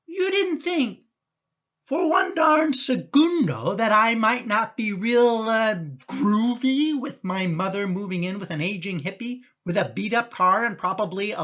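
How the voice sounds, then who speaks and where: A woman's voice: mid-range voice, fairly bright tone, highs cut off. A woman playing an exasperated, protective middle-aged son in a radio play, indignant and emphatic in manner.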